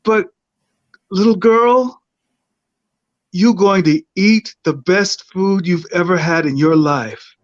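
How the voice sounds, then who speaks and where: A man's voice: high voice, dry recording. A man as a tender parent blessing his baby. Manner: slow, soothing, half-sung blessings. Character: tender father figure feeding his baby girl. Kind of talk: improvised playback theatre. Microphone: video call. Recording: dull lo-fi call audio.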